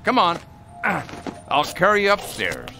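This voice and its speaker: An actor playing a gentle, whimsical old man in a radio drama, doting and a little hesitant. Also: high voice, huge pitch swings, takes it slowly, creaky, over music, exaggerated voice. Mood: playful, tender. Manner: gentle, playful, hesitant when pressed.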